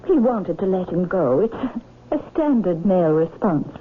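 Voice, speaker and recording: An actress playing a proud, composed aristocratic widow in a radio drama, speaking in a formal, poised and imperious manner. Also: female voice, lowish voice, expressive, measured pace. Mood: guarded, dismissive. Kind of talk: 1970s radio drama acting. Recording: muffled lo-fi old radio broadcast, hiss.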